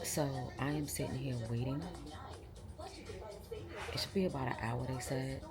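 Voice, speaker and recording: A woman's voice: extremely low pitch, normal speed, over loud music. A woman in her fifties with a New York accent, chatting casually and playfully to her vlog audience from her phone. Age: 50s. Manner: animated storytelling, playful. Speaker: vlogger. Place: in a car, then a waiting area at a tire shop. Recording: loud background noise.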